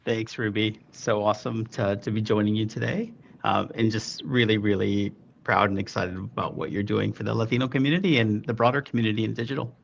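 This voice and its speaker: A middle-aged man from Chile, a marketing expert, telling his story in a relaxed way with fillers. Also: fairly low pitch, fairly quick, vocal fry, hardly any pauses, muffled recording, slight background noise. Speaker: guest, digital marketing expert. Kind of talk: casual interview storytelling.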